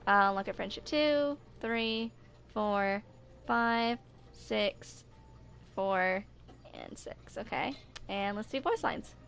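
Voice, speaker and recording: A woman's voice: medium pitch, slow pace, long pauses, fairly bright tone, soft background music. A woman in her twenties streaming casually and reading game lore aloud, informal, stumbling over some words. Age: 20s. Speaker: gaming streamer.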